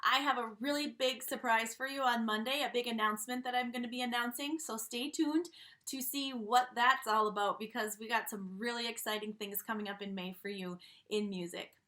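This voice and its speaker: A woman's voice: fairly high pitch, steady tone, brisk, bright, forceful tone. A woman music teacher speaking in an upbeat way to children as she presents a read-aloud.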